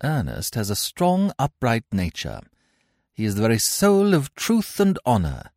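A man's voice: medium pitch, huge pitch swings, measured pace, a little mellow, no room sound, studio quality, voice pushed up, heavily acted. A man voicing Gwendolen, a haughty young society woman who talks in a stiff, formal, condescending way. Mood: coolly cutting. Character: haughty young society lady, polite but cutting.

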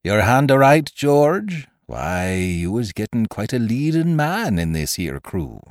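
A man acts out a sly, menacing pirate sea cook from an adventure storybook. His rustic sailor talk swings dramatically in pitch and takes its time, full of contempt and command. The sound is close and dry. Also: very animated, takes it slowly, dry recording, full character voice. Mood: mocking, sly. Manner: rustic old-time sailor speech with theatrical emphasis. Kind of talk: dramatised audiobook reading of a classic adventure story.

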